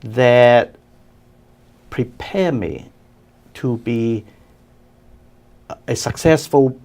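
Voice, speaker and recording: A man in his sixties from Hong Kong, co-hosting a casual studio interview, talking in animated bursts and stammering over his restarts. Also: mid-range voice, very slow, creaky. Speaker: co-host.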